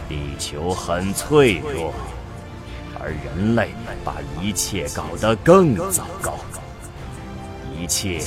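A man acting a grave, visionary scientist in a science fiction radio drama, speaking in solemn, deliberate oratory, convinced he has saved the world. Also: low voice. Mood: exalted conviction. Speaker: voice actor in an audio drama. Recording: music behind the voice.

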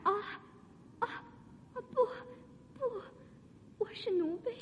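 An actress playing a frightened young palace maid in a radio drama, timid, halting and pleading. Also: female voice, extremely high pitch, lo-fi sound. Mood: timid, flustered. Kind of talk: melodramatic Chinese radio drama acting. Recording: reverberant hall, noisy old radio drama.

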